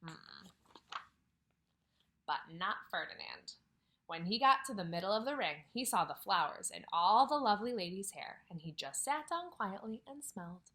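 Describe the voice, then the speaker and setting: A kindergarten teacher reads a picture book aloud to her class, her woman's voice bright and clear. Her pitch swings up and down as she acts out the story. She keeps breaking off to ask the children questions and sometimes drops to a whisper. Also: animated, normal speed. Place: at home, near a couch.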